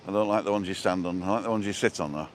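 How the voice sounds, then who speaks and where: A British man with a low-pitched voice rambles casually through a live walking tour, slipping in dry jokes as he goes. He is recorded outdoors, with noticeable ambient noise around him. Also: very fast. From born in England, lived in Wales and abroad. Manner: rambling, jokey. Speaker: travel vlogger and livestream host. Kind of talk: casual live walking-tour chat, answering viewer comments. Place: outdoors, walking along a seaside promenade. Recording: noisy outdoor street and seafront ambience.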